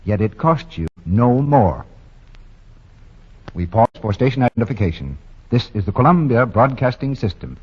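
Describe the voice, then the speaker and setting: A man's voice: medium pitch, big pitch swings, moderate pace, muffled recording, a little hiss or hum. A male announcer reading a radio commercial with emphatic salesman delivery, on an old 1940 radio broadcast.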